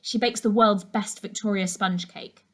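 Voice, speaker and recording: A woman's voice: medium pitch, fairly even intonation, measured pace, natural voice. A woman voicing a polite, curious eleven-year-old schoolgirl in a children's story, earnest and a little guarded. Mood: loyal, upbeat.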